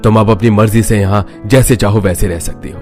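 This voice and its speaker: A man's voice: lowish voice, fairly even intonation, fairly quick, a little mellow, natural voice. A man playing a kindly, protective older father-in-law in a cartoon family story. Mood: gentle, reassuring. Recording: music bed under the voice.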